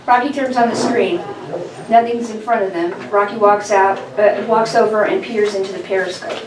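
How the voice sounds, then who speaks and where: A woman's voice: mid-range voice, steady tone, normal speed. A woman reading stage directions as narrator at a table read of a science fiction script. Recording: echoey room, distant mic, noisy background.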